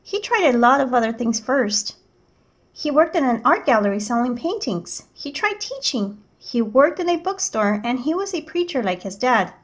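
A woman reading a picture book aloud as a teacher, in measured, clearly enunciated storytelling narration. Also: sits high, very animated.

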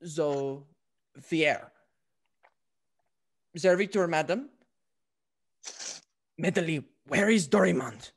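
A woman's voice: low voice, extremely slow, long pauses, husky, exaggerated voice. A woman playing a vain, foolish male fop in a classical stage comedy, with an affected, florid way of talking. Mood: self-satisfied, airy. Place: indoors at home, on a video call.

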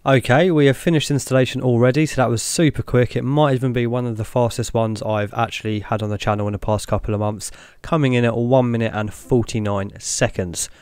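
A young man hosting a tech channel, talking through each step in a relaxed way as he clicks through an install on screen. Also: mid-range voice, a little fast, few pauses, smooth, no echo. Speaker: tech video host. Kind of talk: screen-recorded walkthrough narration.

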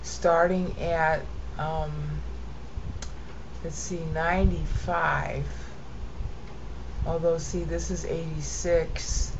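American woman, low voice, casual Bible teacher, half explains, half chants; muffled noisy computer-mic recording. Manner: explains then chants text in rhythmic cadence. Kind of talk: screen-share lesson with chanted reading. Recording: dull lo-fi audio with steady noise.

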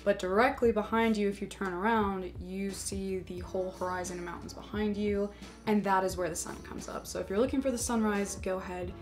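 A woman's voice: mid-range voice, steady tone, brisk, soft background music. A young woman vlogging solo on a mountain trail, chatty and explanatory as she walks through her gear and the route. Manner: chatty and explanatory, talking while hiking. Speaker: hiking vlogger.

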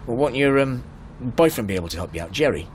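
A middle-aged man played by an actor driving in a radio drama. He talks quickly and confidently, persuasive and insistent on helping, with faint vehicle noise behind him. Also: medium pitch, lively intonation, lightly acted. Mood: concerned. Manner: brisk, assured, persuasive. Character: married male driver, assured, persuasive, insistently helpful. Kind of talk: naturalistic radio drama acting. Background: car interior ambience, faint engine noise.

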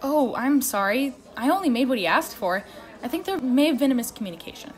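Woman acting weary, sarcastic, highish-voiced barista. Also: a little fast. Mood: apologetic. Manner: deadpan, exasperated. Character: weary, sarcastic female coffee shop barista. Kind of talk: amateur radio play acting.

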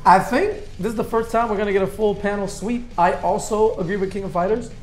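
A man's voice: high-pitched, steady tone, quick pace, roomy sound, soft background music. A young adult man giving casual, slangy reaction commentary as a panelist. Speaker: panelist in a trailer reaction show. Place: small living room on a couch.

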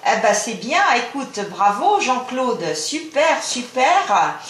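A woman's voice: fairly high pitch, sing-song, slow, fairly bright tone, a little hiss or hum. A woman chatting casually as an amateur radio operator, explaining things in short, encouraging phrases, recorded indoors by a camera at the radio desk. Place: small radio shack indoors. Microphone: camera filming the radio desk. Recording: echoey room, camera microphone.

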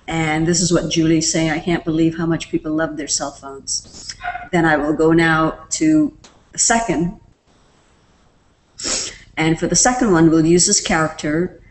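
A woman presenting a screen-recorded software tutorial, explaining each step in a relaxed way with fillers. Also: deep voice, a little hiss or hum, low-quality recording. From Canada.

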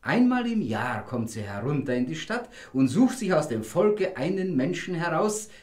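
Echoey fearful coppersmith. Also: male voice, on the high side, sing-song, normal speed, a little mellow. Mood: troubled. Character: fearful townsman, a male coppersmith. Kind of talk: German fairy-tale audio drama acting. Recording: roomy, echoing studio sound.

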